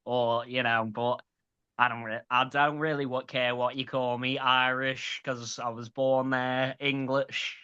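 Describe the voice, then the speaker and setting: Late-20s comic with light Scouse accent, sharp bright voice, sweary chatty guest, dull lo-fi audio. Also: male voice, mid-range voice, little pitch movement, unhurried, smooth. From grew up in Liverpool. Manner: chatty, sweary, many restarts. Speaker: stand-up comedian guest. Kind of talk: casual interview chat.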